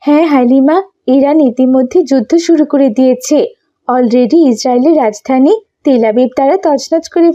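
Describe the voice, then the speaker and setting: A woman's voice: high-pitched, dark tone, lo-fi sound, close to an everyday voice. A woman voicing a fervent, hopeful young Palestinian woman in a cartoon, speaking emphatically. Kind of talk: cartoon dialogue acting.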